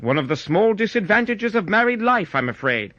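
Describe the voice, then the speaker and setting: A man playing a genial, loyal Victorian gentleman, telling his story in a courteous, formal way. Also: high-pitched, sing-song, fairly quick, no room sound, dull audio, voice pushed up. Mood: amiable. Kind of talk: scripted first-person story narration.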